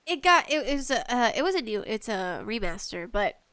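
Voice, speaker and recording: A woman hosting a casual solo podcast about games, talking in an animated, playfully exasperated way with emphatic delivery. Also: fairly high pitch, brisk. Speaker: podcast host.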